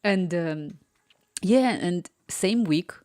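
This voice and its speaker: A woman's voice: on the low side, extremely slow, warm tone, no echo. Rambling thirties woman, dry close mic. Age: 30s. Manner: rambling, laughs often, restarts words. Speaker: live stream host. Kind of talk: casual live-stream chat.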